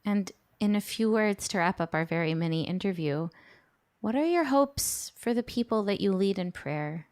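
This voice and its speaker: A woman's voice: medium pitch, animated, normal speed, a little mellow, clear, resonant tone. A woman hosting a podcast in a conversational style. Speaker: podcast host.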